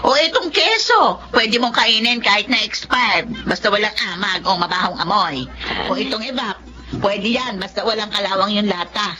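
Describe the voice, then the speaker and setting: A woman's voice: medium pitch, moderate pace, sharp tone, lightly acted. A woman playing a shrewd, greedy, practical eatery owner with a Filipino accent in a radio drama. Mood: dismissive. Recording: muffled radio drama with music underneath.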